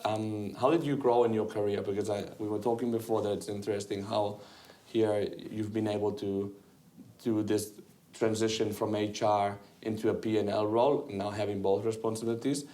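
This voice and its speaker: A man's voice: fairly low pitch, level tone, normal speed, dark tone. A man hosting a business podcast interview, chatty and prone to restarting his phrases. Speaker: podcast host.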